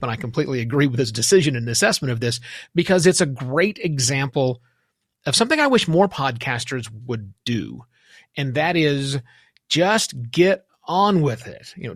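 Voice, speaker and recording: A man's voice: mid-range voice, big pitch swings, normal speed, no echo, studio quality. A man hosting a podcast interview in a conversational, emphatic way, repeating words for emphasis.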